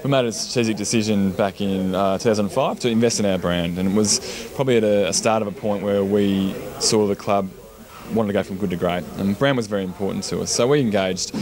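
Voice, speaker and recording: A man's voice: fairly low pitch, a little fast. A man with an Australian accent answering interview questions as a club executive. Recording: busy crowd chatter behind.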